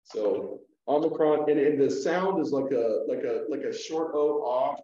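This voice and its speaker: A man teaching a Greek lesson at a whiteboard, explaining slowly with fillers and restarts, heard through a room mic on a video call. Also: mid-range voice, mellow, distant mic. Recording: echoey room, dull lo-fi sound.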